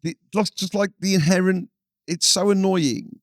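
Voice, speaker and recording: Man, comedy podcast co-host, animated, sweary, ranting, dry studio sound. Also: sits high, normal speed, no room sound. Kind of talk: casual comedic banter. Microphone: headphones and studio mic.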